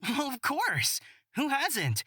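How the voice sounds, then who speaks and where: Playful, curious young fantasy storyteller. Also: male voice, very high-pitched, wildly swooping pitch, slow, sharp tone, dry recording, clean studio sound, full character voice. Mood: amused. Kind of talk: visual novel character acting.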